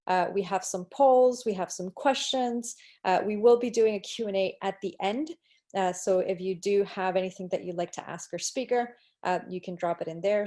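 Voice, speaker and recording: A woman's voice: mid-range voice, warm tone. Woman hosting a webinar. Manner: friendly, uh-filled introductions. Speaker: webinar host. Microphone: video call.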